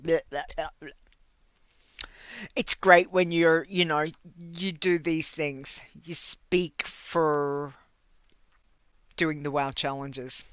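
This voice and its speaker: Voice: mid-range voice, sharp tone, clear, resonant tone, no echo. An Australian livestream host chatting casually with viewers, rambling, laughing often and stumbling over words. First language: English. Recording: slightly dull lo-fi mic, dry.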